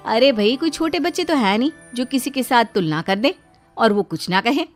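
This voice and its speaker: A woman's voice: a little high, lively intonation, normal speed. A woman narrating a cartoon moral story in Hindi in a scripted storytelling style. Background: soft background music.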